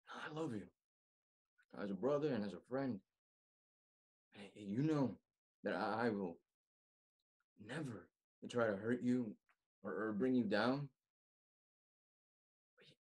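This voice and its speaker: A young man in his twenties performing a dramatic monologue as a worried, exasperated roommate pleading in halting phrases. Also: medium pitch, darkish tone, breathy edge, heavily acted. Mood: gentle, apologetic. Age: 20s. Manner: halting, repeating words. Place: small room indoors at home. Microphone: webcam at arm's length.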